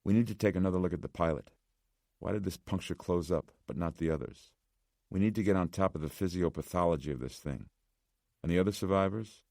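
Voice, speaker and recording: A man voicing a harried, skeptical male doctor in an audiobook, brisk, curt and questioning. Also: deep voice, gravelly, darkish tone, no echo, studio quality. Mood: urgent. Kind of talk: audiobook character voice.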